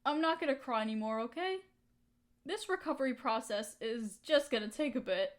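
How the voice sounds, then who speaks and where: A woman acting a high-voiced, emotional young woman. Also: animated, normal speed. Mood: apologetic, soft. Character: hurt young woman softening toward her best friend and crush. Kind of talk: intimate roleplay audio drama monologue.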